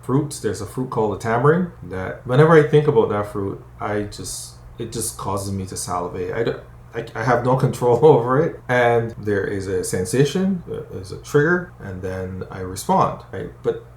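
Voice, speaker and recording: A man's voice: on the low side, lively intonation, normal speed, a little hiss or hum. A man from Barbados, a conflict coach and psychologist, giving an educational talk to camera in a conversational way and often asking "right?". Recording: roomy echo.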